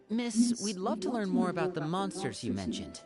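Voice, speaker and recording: A woman voicing a composed young adventurer who speaks in a formal, courteous way. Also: mid-range voice. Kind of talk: video game voice acting.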